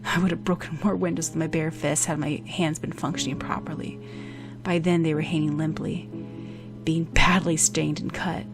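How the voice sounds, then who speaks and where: A woman's voice: low voice, level tone, normal speed, over music. A woman reading fiction as a dramatic audiobook narrator.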